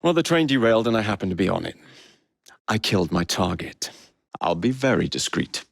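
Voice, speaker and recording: Young English gang leader, roguish, wry, expressive pitch swings, close dry sound, video game hero. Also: male voice, fairly quick, vocal fry, dry recording, heavily acted. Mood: brash, businesslike. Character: cocky young male Victorian London gang leader.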